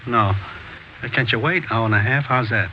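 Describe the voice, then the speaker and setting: An actor playing a pushy, shifty street peddler with an informal, hustling and hedging manner, in 1950s radio drama style. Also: male voice, mid-range voice, moderate pace, natural voice. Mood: hedging, persuasive. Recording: dull, noisy vintage radio recording.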